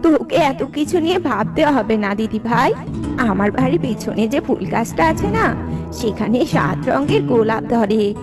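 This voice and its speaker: Cartoon granny owl, a woman acting: elderly, doting, comforting, somewhat high-pitched, music behind. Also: lively intonation, unhurried. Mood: kindly advising. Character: kindly old grandmother owl, protective and comforting. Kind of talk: children's cartoon character dubbing.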